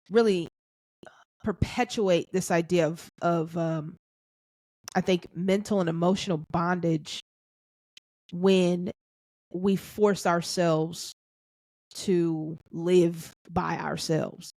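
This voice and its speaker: A woman's voice: on the low side, steady tone, takes it slowly, pauses often, darkish tone, no room sound. Woman podcast host, dry close sound. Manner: thinks aloud with restarts, affirming "that's right", "amen". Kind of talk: reflective faith-centered casual chat.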